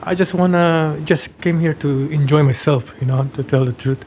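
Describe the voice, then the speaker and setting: Halting male defendant, somewhat high voice. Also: a little mellow, a little hiss or hum. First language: Tagalog. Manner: halting, unsure.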